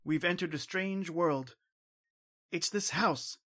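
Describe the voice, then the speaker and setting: A man's voice: on the high side, takes it slowly, sharp tone. A man playing a doomed, tormented uncle in an old-time radio horror drama, with grave, pleading melodrama. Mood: grave despair. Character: doomed, tormented uncle trapped in a haunted shadow world.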